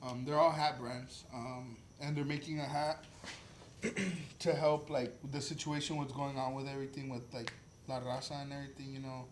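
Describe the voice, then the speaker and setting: An echoey room with faint noise frames a man in his early twenties. He hosts a casual podcast at an average male pitch, speaking informally and hesitantly, with some swearing. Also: little pitch movement, normal speed. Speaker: podcast host. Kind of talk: casual group podcast chat.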